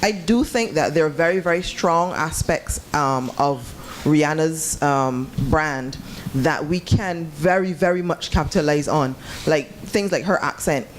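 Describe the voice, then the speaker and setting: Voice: medium pitch, unhurried, a little hiss or hum. A seminar participant from Barbados making a comment from the floor, hesitant, with many fillers. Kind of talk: comment from the audience. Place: seminar room.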